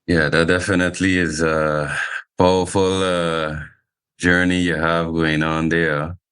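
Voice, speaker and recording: A man's voice: sits low, level tone, measured pace, fairly bright tone, clear, resonant tone. A man hosting a podcast interview, asking questions in a hesitant way with filler words. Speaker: podcast host.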